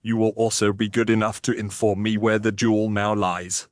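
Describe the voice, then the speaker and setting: A man voicing a grave, dutiful Victorian police inspector with a formal, deliberate, official manner. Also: on the low side, level tone, no echo, studio quality, natural voice. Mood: official, firm.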